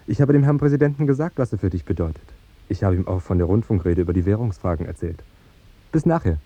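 An actor playing a courteous, guileless fiancé who talks in an eager, earnest and tactless way in a radio drama. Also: male voice, sits low, lively intonation, fast talker, dark tone, breathy edge, no room sound, heavily acted. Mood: breezy. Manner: polite, chatty, earnest. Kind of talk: 1950s German radio drama acting. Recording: dull 1950s radio recording with music.

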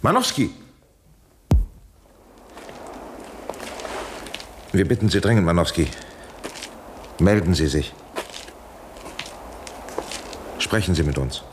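Uptight professor in old german radio drama, deep-ish voice goes up and down a lot, urgent n imploring, bit of noise. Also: male voice, expressive, brisk. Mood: urgent pleading. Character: anxious authoritative male professor pleading urgently by radio link.

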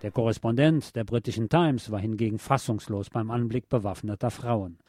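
A man reading a scripted historical feature as a radio presenter, in a measured, factual documentary manner. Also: on the low side, dark tone, faint music behind. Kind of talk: scripted narration.

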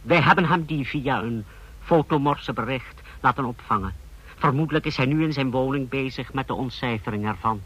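Bright-voiced scheming regime aide. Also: male voice, mid-range voice, fairly quick, husky, muffled recording. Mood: formal, self-assured. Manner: formal, precise, explanatory. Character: deferential, coolly scheming male aide to a dictator. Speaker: actor in a radio play. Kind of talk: Dutch radio drama acting.

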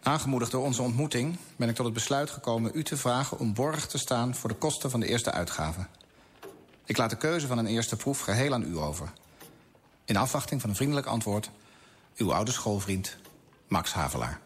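An actor playing an impoverished male writer, polite, measured and earnest, in a radio play. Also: medium pitch, lively intonation, breathy edge. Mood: formal, hopeful.

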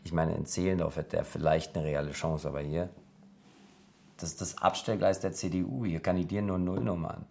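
An earnest, idealistic local politician, speaks in a low, articulate voice. The delivery is that of a naturalistic radio drama. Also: male voice, steady tone, a little fast, gravelly, dark tone. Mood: wry, frustrated.

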